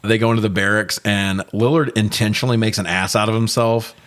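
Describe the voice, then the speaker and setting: Low-voiced man, sweary podcast recapper. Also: a little fast. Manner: sweary, opinionated, animated retelling. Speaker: movie review podcast host. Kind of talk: casual recap and commentary with a co-host.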